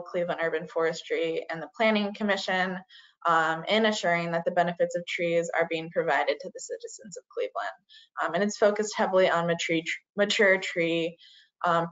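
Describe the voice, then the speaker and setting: A woman giving an online presentation, starting many phrases with "uh" and "um". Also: lowish voice. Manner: frequent "uh" and "um" fillers. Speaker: community forestry presenter. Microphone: video call. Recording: dull lo-fi video call audio.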